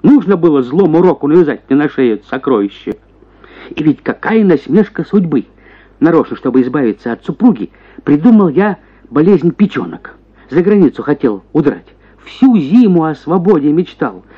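An actor voicing a henpecked prosecutor, a self-pitying husband who grumbles and exclaims theatrically in a radio story. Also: male voice, fairly high pitch, normal speed, husky, highs cut off. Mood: exasperated complaining. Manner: plaintive grumbling that bursts into excited exclamations.

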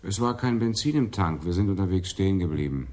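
An actor playing a wry, laconic police sergeant who is easily smitten and mutters to himself in a radio play. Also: male voice, low-pitched, lively intonation, a little fast, darkish tone. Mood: dry, matter-of-fact. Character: wry, smitten Amsterdam police sergeant, restless inner musings. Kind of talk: radio drama acting.

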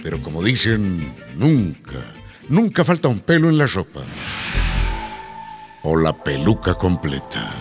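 A man's voice: low voice, huge pitch swings, measured pace, long pauses, faint music behind, full character voice. A man as a wry storyteller, reading scripted narration for a radio soap. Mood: wry, storytelling.

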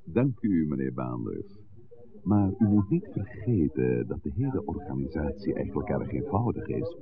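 A man playing a suave, scheming village pastor in a 1960s radio drama, speaking in formal, measured, courteous sentences. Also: extremely low pitch, big pitch swings, vocal fry, a crowd in the background, heavily acted. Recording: muffled, noisy old radio recording.

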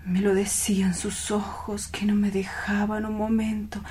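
An actor playing a melancholy, lovelorn dreamer in a radio play, speaking in a soft half-whisper with lyrical, wistful phrasing. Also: male voice, high-pitched, monotone, a little hiss or hum. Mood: dreamy nostalgia. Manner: lyrical, wistful, drawn-out reminiscing. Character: melancholy, dreamy, lovelorn romantic man, intense and misunderstood.

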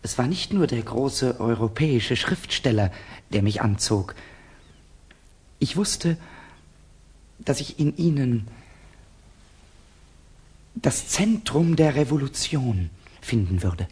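Husky, guarded young student. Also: male voice, mid-range voice, lively intonation, takes it slowly, pauses often, darkish tone, heavily acted. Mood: earnest. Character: guarded young Russian student, courteous and tense. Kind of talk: 1960s German radio play acting.

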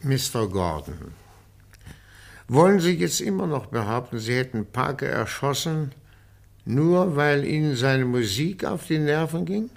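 Radio drama inspector:, gravelly, probing, formal, pressing each point with measured insistence. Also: male voice, mid-range voice, sing-song, heavily acted. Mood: probing, sceptical. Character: stern, probing male police interrogator. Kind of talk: German radio crime drama acting.

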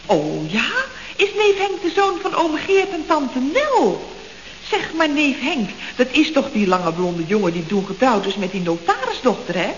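An old, echoey radio comedy recording with some hiss carries a high-voiced, chatty secretary. She is scatterbrained and questioning, and her intonation swings widely. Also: wildly swooping pitch, a little slow, exaggerated voice. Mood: chatty, puzzled. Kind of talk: Dutch radio comedy acting, around 1970. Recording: roomy, dull, hissy old radio recording.

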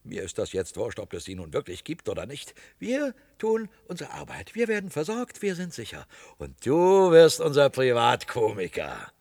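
An actor in a radio play playing a coarse, domineering cellar-dweller with a rough voice and a blustering, sneering manner. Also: male voice, mid-range voice, wildly swooping pitch, moderate pace, hardly any pauses, darkish tone, no room sound, studio quality, heavily acted. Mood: gloating, domineering.

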